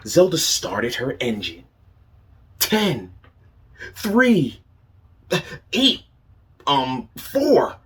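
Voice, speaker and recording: A man's voice: medium pitch, huge pitch swings, extremely slow, pauses often, fairly bright tone. A man reading a picture book aloud to young children as a teacher, asking them questions and praising their answers.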